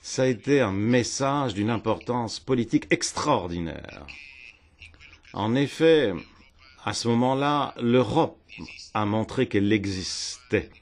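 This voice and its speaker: A man interpreting a political speech live in a parliament hemicycle, phrasing in halting bursts and repeating words as he catches up. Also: medium pitch. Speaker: simultaneous interpreter.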